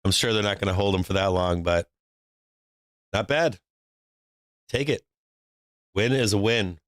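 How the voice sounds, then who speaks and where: A man's voice: on the low side, measured pace, a bit bright, no room sound, clean studio sound. A man from Boston hosting a live stock trading stream, brash and joking, laughing often between calls. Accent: faint Boston. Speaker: stock market livestream host.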